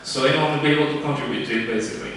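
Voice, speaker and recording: A man from Romania pitching his startup live, halting often with fillers and restarts. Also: fairly bright tone, slight background noise. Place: event room. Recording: echoey room, distant mic.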